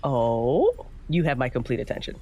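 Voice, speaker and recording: A hustling paranormal investigator with a very animated voice that swings up and down. She is pushy, excitable and dramatic, in the style of horror audio drama. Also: rumbling low, normal speed, full character voice. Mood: eager. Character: brash, hustling, foul-mouthed woman paranormal investigator.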